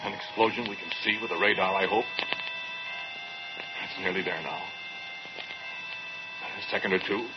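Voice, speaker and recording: An actor playing an obsessed, defensive atomic scientist in an old radio horror drama, speaking with agitated insistence. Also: male voice, low voice, fairly even intonation, moderate pace, pauses often, lightly acted. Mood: tense anticipation. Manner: insistent, agitated, clipped repetitions. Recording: muffled, very noisy old radio recording.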